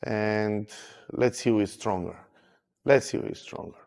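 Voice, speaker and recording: Armwrestler, halting English, reverberant sound. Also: male voice, fairly low pitch, darkish tone, clear, resonant tone. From Romania. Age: 30s. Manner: short plain sentences in halting English. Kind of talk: pre-match interview.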